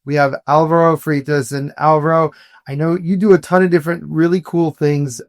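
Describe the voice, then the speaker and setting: A man's voice: on the high side, level tone, brisk, no room sound, clean studio sound. A man who grew up in South Florida, hosting a podcast interview in an upbeat, conversational way. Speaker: podcast host, attorney. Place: podcast studio.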